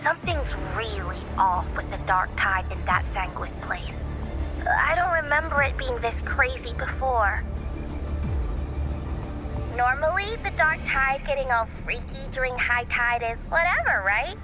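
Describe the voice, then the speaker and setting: A woman's voice: sits high, animated, a little slow, rough recording. An actress playing a quirky, breezy young woman in a video game, explaining things in a slangy, playful way. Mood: breezy concern. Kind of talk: video game character acting. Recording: music behind the voice.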